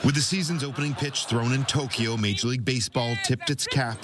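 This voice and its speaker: A Canadian man reporting a news story in measured, scripted narration. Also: mid-range voice, over music.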